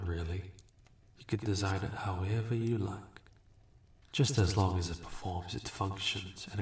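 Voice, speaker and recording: A man playing a suave, amused demon in a fantasy audio roleplay, condescending and teasing with unhurried courtesy. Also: on the low side, gravelly. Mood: casual, businesslike. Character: suave, amused, bargaining male demon, ageless and smug. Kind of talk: scripted fantasy audio roleplay addressed to listener. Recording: roomy echo, distant from mic.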